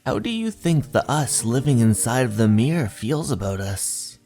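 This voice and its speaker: A man voicing an eerie, musing schoolboy in a horror manga dub, deliberate and insinuating, over music. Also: medium pitch, very animated, warm tone. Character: eerie, musing schoolboy friend posing unsettling questions.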